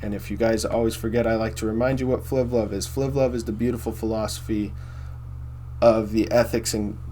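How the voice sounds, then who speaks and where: A man with a baritone voice hosting a casual philosophy monologue, rambling and repeating himself with many fillers. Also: fairly even intonation, a little fast, mellow, a little hiss or hum. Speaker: host.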